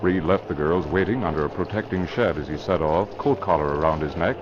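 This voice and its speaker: A man's voice: low-pitched, a little fast, lightly acted. A man narrating an old-time radio drama in a dramatic scripted style. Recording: noisy muffled vintage radio recording.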